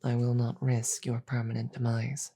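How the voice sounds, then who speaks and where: A woman playing a regal, measured demon queen in an audio roleplay, grave and wryly amused, pausing deliberately and often slipping toward a whisper. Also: very deep voice, flat delivery, mellow. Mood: grave, resolved. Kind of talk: scripted audio roleplay, slow dramatic delivery.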